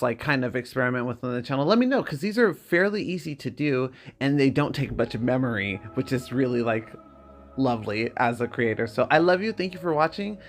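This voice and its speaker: Narrator, video creator, mid-pitched voice, dramatic narration and casual chat, light music behind. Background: background music.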